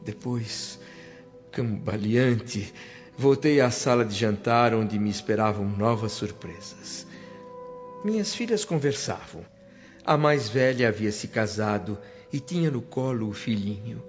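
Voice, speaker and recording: Actor as a wistful spirit narrator over music. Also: male voice, lowish voice, expressive, a little slow. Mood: sorrowful, resigned. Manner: dramatic, emotive, literary phrasing. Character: sorrowful male spirit returning home, wistful and earnest. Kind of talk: first-person radio drama narration. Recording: dull lo-fi sound under music.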